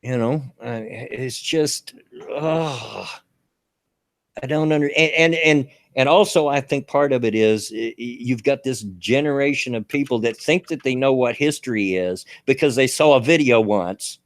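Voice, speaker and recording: A man's voice: mid-range voice, a little slow, dry recording, studio quality. A man with a Southern US accent chatting casually as a podcast host, stammering through restarts and chuckling often. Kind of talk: casual political chat.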